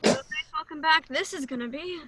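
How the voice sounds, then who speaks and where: A woman's voice: high voice, fairly quick, heavy background noise. A woman playing a cocky, bumbling male secret agent with a flippant, breezy manner in a comedy script read. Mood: defensive.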